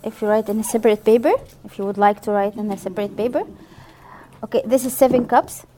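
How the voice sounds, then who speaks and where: A woman's voice: a little high, fairly even intonation, fast talker, dark tone, a little hiss or hum. A woman from Egypt co-teaching a bread workshop, speaking hesitant, simple English with fillers. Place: community hall kitchen.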